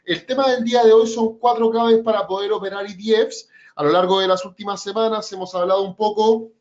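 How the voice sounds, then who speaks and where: A man with a fairly high voice teaches a trading webinar, explaining things patiently and stopping often on "eh" as he works through his points. The sound is muffled and lo-fi, like webinar audio. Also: steady tone, darkish tone. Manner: measured, explanatory, with frequent "eh" and "por ejemplo". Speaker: webinar presenter and trading educator. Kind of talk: educational webinar presentation.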